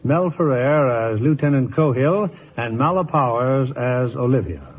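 A man's voice: medium pitch, expressive, measured pace, a crowd in the background. A man announcing formally as producer in 1950s radio style. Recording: tinny old radio broadcast.

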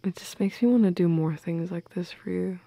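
A young woman in an intimate girlfriend audio roleplay, speaking softly and close to the mic with playful, teasing affection. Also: on the low side, brisk, creaky, dry recording. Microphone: close microphone, dry.

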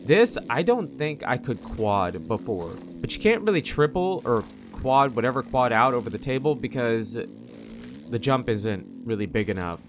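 A man giving casual, rambling off-the-cuff commentary as host of a gaming video, in a conversational way. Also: medium pitch. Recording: game engine noise behind the voice. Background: motocross bike engine sounds from game.

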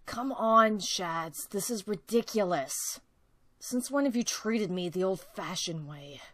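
A woman voicing a cocky, energetic young male cartoon hedgehog, brash, teasing and earnest, in an amateur comic dub. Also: mid-range voice, slow pace. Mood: exasperated. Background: background music.

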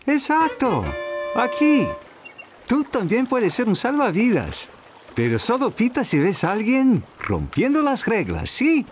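Friendly, very animated cartoon lifeguard. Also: male voice, very high voice, takes it slowly, faint music behind, heavily acted. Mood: friendly, upbeat. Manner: friendly, instructive. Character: friendly adult lifeguard talking to a child. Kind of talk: animated children's cartoon dub.

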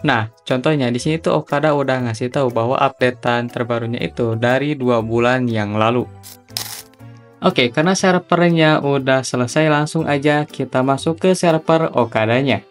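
A man's voice: mid-range voice, normal speed. Young male tutorial host, chatty and informal, mid-range voice over background music. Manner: chatty, slangy, step-by-step instructing.

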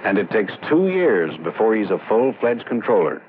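A man's voice: lowish voice, big pitch swings, moderate pace. A man playing a curious traveler in a 1960s educational film, asking questions conversationally. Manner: inquisitive, conversational. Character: curious male traveler learning about air traffic control. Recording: muffled old film soundtrack, faint hiss.

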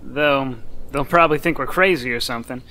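An actor playing a folksy, excitable, skeptical suburban neighbor in a radio play. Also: male voice, medium pitch, a little fast. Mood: skeptical, uneasy.

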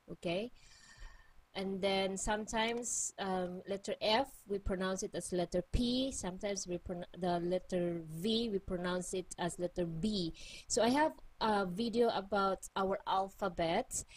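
A woman's voice: medium pitch, low-quality recording. A woman from the Philippines with a Cebuano accent, teaching an online vocabulary lesson and patiently repeating each word. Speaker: language teacher.

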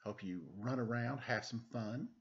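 A middle-aged man with a playful, animated, self-deprecating manner, doing a children's read-aloud with casual, joking asides into a webcam at home. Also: mid-range voice, normal speed, creaky. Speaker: storytime reader. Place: indoors, room at home. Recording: dull webcam audio, noisy background, clicks.

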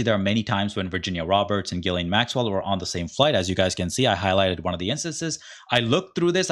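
A man's voice: on the low side, a bit bright. A middle-aged man hosting his own show, reading a transcript aloud and adding sarcastic, indignant commentary from an indoor room. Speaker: online commentator, show host. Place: indoor room in front of a green screen.

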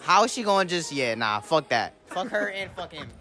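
Bright, blunt young man in a mall. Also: a little high, very animated, normal speed, faint music behind. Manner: blunt, slangy. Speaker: passer-by interviewee. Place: indoor shopping mall.

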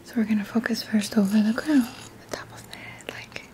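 A woman in her thirties leading a guided ASMR session in a gentle, hushed, often whispered voice with short pausing phrases. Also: a little high. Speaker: ASMR Reiki practitioner and host. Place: small indoor room. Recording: clear background noise with small clicks.

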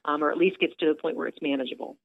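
A woman with a low voice, talking chattily on a phone call into a radio show, upbeat, with lots of fillers. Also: racing, gravelly, no echo. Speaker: theater director calling in. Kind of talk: casual phone interview. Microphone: telephone. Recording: tinny phone line.

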